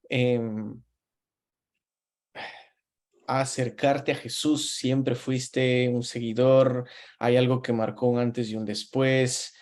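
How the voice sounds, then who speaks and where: A man in his thirties from Ecuador with an Ecuadorian Spanish accent, hosting a relaxed conversational interview and talking with easy pauses and restarts. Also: mid-range voice. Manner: relaxed, talks with frequent fillers and restarts. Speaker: host.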